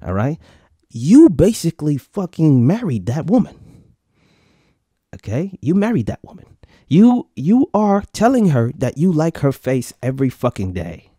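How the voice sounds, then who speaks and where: A man's voice: fairly high pitch, huge pitch swings, a little slow, warm tone, slightly rough, no echo, studio quality. A man in his thirties hosting a podcast live, ranting casually to viewers with lots of swearing and comic repetition. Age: 30s. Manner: profane, swaggering, repeats lines for comic effect. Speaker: podcast host.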